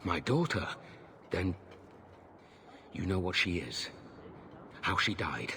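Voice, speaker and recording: A solemn, stern knight in middle age, a bereaved father in a fantasy game. His voice is low and he speaks formally. There is clear ambient noise behind him. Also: big pitch swings, slow, sharp tone, full character voice. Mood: grieving, remorseful. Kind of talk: dramatic game cutscene acting.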